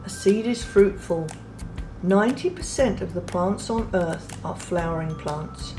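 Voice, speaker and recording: A woman reading a children's picture book aloud in a gentle, unhurried way, pausing softly between phrases. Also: on the low side, rough recording. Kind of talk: read-aloud storybook narration. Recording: read over clear background music.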